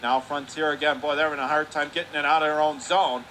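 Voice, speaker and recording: A man calling live hockey play-by-play on radio, his calls climbing into shouts when the play heats up. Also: fairly high pitch, little pitch movement. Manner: rapid calls rising to shouts on big plays. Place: hockey arena broadcast booth. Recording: noisy arena background.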